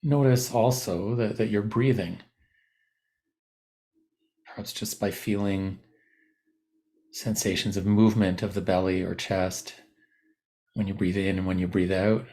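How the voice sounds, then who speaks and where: Male meditation teacher, lowish gravelly register, gentle and slow to move on, instructing with spacious pauses. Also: fairly even intonation. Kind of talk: guided body scan meditation.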